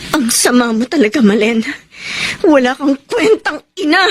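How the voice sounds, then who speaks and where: A woman's voice: high-pitched, lively intonation, normal speed. A woman playing an anguished, indignant protector of a child in a melodramatic Filipino radio drama, with a Filipino accent. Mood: alarmed, angry. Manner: emotional, pleading, scolding.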